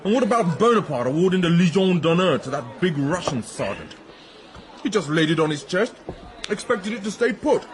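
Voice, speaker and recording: An actor playing a chatty, cynical army officer in a radio drama. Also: male voice, high voice, big pitch swings, moderate pace. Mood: excited, wry.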